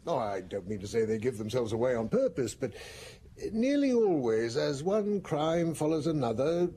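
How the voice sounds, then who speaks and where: An actor playing a thoughtful male psychiatrist professor in a radio drama, measured and reflective. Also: mid-range voice, sing-song, dark tone, close to an everyday voice. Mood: analytical.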